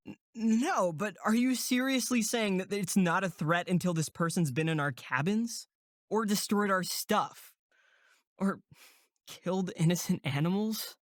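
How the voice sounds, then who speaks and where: Performer as a steady young male counselor, dry recording. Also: mid-range voice, unhurried, no room sound, lightly acted. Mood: incredulous. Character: protective, practical young male camp counselor. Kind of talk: audio drama acting.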